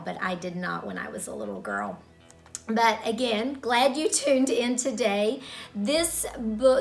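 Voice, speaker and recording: A woman reading a story for children in an expressive way, as a storyteller speaking directly to young listeners. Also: on the high side.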